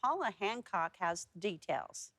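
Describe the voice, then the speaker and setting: A woman's voice: mid-range voice, very animated, unhurried, noisy background. A woman with an American accent presenting news and weather live on television.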